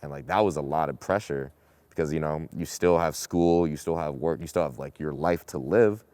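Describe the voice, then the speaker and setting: Young man, very low voice, from Boston, casual student panel talk, dry close sound. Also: normal speed, no room sound. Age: young adult. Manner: relaxed, talks in long runs with many "like" fillers. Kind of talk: casual group discussion.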